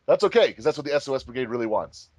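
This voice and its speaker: A man's voice: medium pitch, animated, very fast, a little mellow, dry recording. A man hosting a casual group chat in a jokey, rambling, giggly way. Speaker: host of a fandub group commentary.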